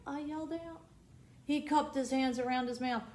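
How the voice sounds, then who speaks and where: A woman reading a children's picture book aloud as storytime host, expressive and dramatic, pausing for asides and questions to her young listeners. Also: sits high. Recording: roomy echo, noticeable background noise.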